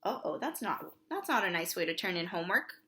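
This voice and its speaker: A woman's voice: on the low side, big pitch swings, brisk, pushed and bright. A woman preschool teacher reads a storybook aloud for young children, expressively, with simple asides to her listeners.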